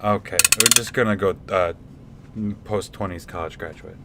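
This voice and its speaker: Man as deep-voiced bumbling inventor. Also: background noise. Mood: earnest. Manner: halting, muttering, stammering. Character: bumbling, proud male inventor scientist. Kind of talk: comedic radio theatre acting.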